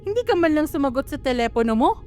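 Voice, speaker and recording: A woman's voice: high voice, sing-song, quick pace, dark tone, faint music behind. A woman with a Filipino accent playing a worried, nagging mother in an amateur radio drama. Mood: angry scolding. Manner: scolding, exclamatory. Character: worried, nagging, quick-to-scold mother of grown children.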